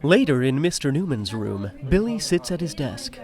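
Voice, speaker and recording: A man's voice: fairly high pitch, normal speed, soft background music. A man narrating a scripted comedy story in a playful, conversational and wry way. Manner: wry, animated.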